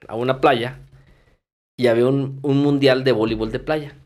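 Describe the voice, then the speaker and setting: A man's voice: mid-range voice, steady tone, normal speed, darkish tone. A Mexican man from Durango chatting casually on a podcast, emphatic and colloquial, fond of rhetorical questions and salty language. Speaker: podcast guest. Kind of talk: casual podcast conversation with anecdotes.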